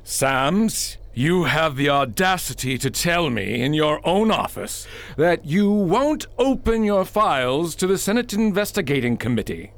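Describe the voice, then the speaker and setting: A man's voice: fairly high pitch, big pitch swings, unhurried, fairly bright tone, exaggerated voice. An actor playing a pompous, power-hungry male senator in a radio drama, grandiose and blustering, as if always making a speech. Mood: outraged. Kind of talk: old-fashioned radio drama acting.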